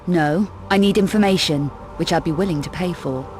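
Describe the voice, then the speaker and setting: A woman's voice: fairly low pitch, normal speed, darkish tone, slight background noise, natural voice. A woman with a British accent playing a tough, sardonic female adventurer in a video game, speaking in a clipped, dry, demanding way. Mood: cool, probing. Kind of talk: video game cutscene acting.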